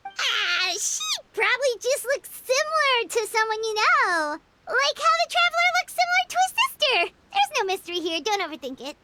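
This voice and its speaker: A woman voicing a tiny, excitable, bossy floating fairy girl in an anime video game, with a squeaky childlike voice. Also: normal speed, very noisy. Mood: perky. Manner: exclamatory, speaks of herself in third person. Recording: loud music behind.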